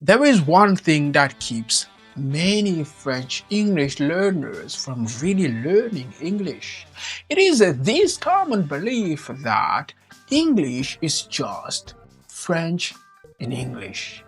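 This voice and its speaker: Man, English teacher, slow and deliberate, emphatic, distant mic. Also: fairly high pitch, wildly swooping pitch, slightly rough, soft background music.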